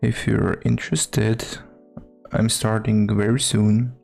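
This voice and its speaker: A man with a gravelly edge to his voice talks casually on a game stream. He takes his time, hesitant and muttering to himself, with soft music in the background. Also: fairly low pitch, little pitch movement, takes it slowly. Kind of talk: casual live stream chat.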